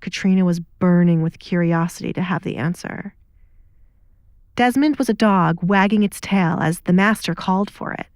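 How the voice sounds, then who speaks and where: A woman's voice: on the low side, moderate pace, a little mellow, dry recording, clean studio sound. A woman reading scripted, dramatic narration for an audio story.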